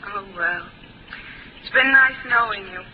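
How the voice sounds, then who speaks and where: An actress playing a cool, calm young woman companion in a 1950s radio drama, speaking softly and gently. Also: mid-range voice, unhurried, natural voice. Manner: soft, calm and gently probing. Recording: dull old 1950s radio broadcast with faint hiss.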